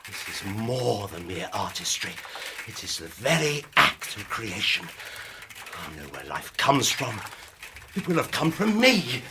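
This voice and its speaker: Over clear background noise from a live stage, a tormented young scientist in a radio play, obsessed and grandiose. He declaims slowly and impassioned, with pitch that swings widely. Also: very animated. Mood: exultant, grandiose. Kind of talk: theatrical radio drama monologue. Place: theatre stage, live performance. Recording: noisy live stage recording.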